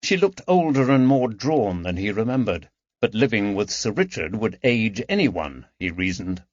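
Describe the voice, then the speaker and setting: A man's voice: lowish voice, very animated, normal speed, no room sound. A man reading scripted narration from a period mystery novel as an audiobook narrator. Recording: dull lo-fi recording.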